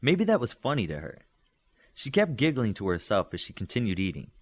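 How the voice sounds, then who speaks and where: Male audiobook reader, mid-range voice, very animated intonation, expressive scripted storytelling. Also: mid-range voice, brisk.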